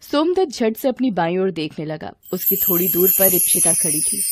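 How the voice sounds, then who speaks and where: A woman reads a scripted story as narrator. Her intonation is animated and rises and falls widely. The recording has clear background noise. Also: on the low side, moderate pace.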